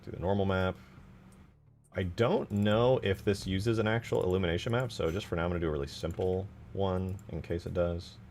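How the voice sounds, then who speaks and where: Deep-voiced, gravelly man thinking aloud. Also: steady tone, brisk, a little mellow, slight background noise. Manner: thinks aloud, self-questioning, informal. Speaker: streamer modding a game. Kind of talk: casual live commentary while working.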